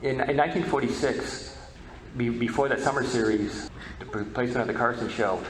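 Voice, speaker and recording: A man giving an informal history lecture as presenter, halting and often repeating words. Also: mid-range voice. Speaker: presenter, media history researcher. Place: presentation room at a convention. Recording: echoey room with background noise.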